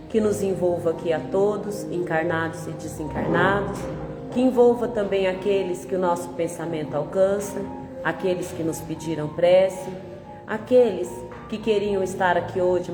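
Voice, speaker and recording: A Brazilian woman giving a gentle, conversational spiritist talk with a nasal, congested voice from a cold. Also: on the low side. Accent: Brazilian Portuguese. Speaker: spiritist lecturer. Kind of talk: public talk with opening prayer. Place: large hall of a spiritist center. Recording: echoey hall with long reverb.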